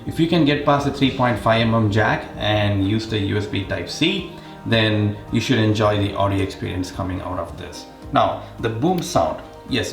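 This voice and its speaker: A man's voice: fairly low pitch, gravelly, echoey room. A man giving a casual, explanatory product comparison as the host of a tech review.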